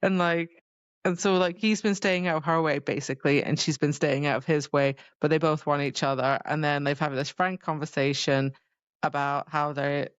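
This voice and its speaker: A woman co-hosting a podcast, chatting casually about a book with excitable, exclamatory reactions. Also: deep voice, normal speed, clear, resonant tone, no room sound. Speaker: podcast co-host. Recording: slightly dull, muffled sound.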